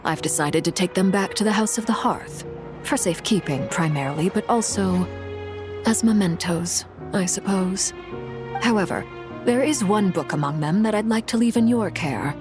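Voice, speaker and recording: An actress playing a composed, formal noblewoman in a video game, speaking in a measured, restrained and solemn way. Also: medium pitch, natural voice. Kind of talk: dramatic video game dialogue. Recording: prominent music behind the voice.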